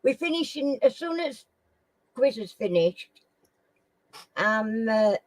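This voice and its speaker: A middle-aged woman from Sheffield with a Sheffield accent, hosting a casual live chat with viewers in a slow, homely way and often clearing her throat. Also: on the high side, animated, no room sound, rough recording. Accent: Sheffield English. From Sheffield, England. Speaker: live stream host.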